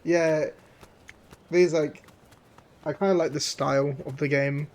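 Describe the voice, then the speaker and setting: A hoarse man streaming a game, chatting casually and rambling with many fillers and restarts. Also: a little high, steady tone, a little slow, darkish tone, slight background noise. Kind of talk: casual gameplay commentary.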